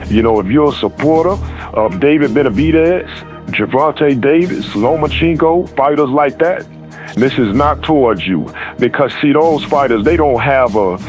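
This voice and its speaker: A man's voice: mid-range voice, animated, moderate pace, soft background music. A man giving opinionated boxing commentary, emphatic and repeating his points.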